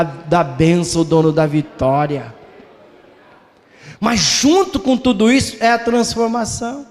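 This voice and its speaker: A man preaching a sermon in rhythmic, repetitive waves, rising suddenly and then drawing lines out slowly. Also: sits high, a little mellow. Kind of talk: Pentecostal-style sermon. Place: church hall. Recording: long reverb of a church hall.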